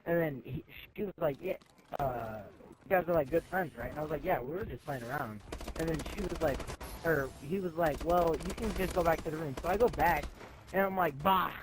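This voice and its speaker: A high-voiced teenage boy spins a casual story for his friends. He talks in slang and acts out each side of the conversation. The recording is noisy, from a gaming chat mic with game gunfire in the background. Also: fairly quick. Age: teenager, high school student. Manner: animated, acts out both sides of conversations, slangy. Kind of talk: casual anecdote telling among friends.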